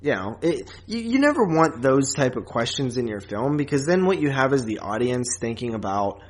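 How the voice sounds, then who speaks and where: Man chatting casually, halting as he goes. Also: medium pitch, sing-song. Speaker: podcast co-host. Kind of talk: casual film review chat.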